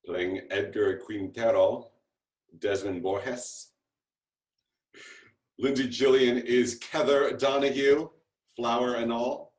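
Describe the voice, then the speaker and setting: A man speaks from an echoey room on a video call. His voice sits at a medium pitch, and he talks casually and haltingly, with a self-deprecating manner. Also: steady tone, a little mellow. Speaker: TV series creator introducing his cast. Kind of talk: casual spoken interview.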